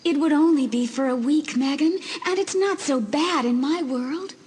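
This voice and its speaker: Brief: a woman playing a teen girl's ghost, hushed, coaxing, breathy edges, high voice. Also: normal speed, slight background noise, lightly acted. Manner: gentle pleading. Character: faint ghostly teenage girl spirit in a mirror.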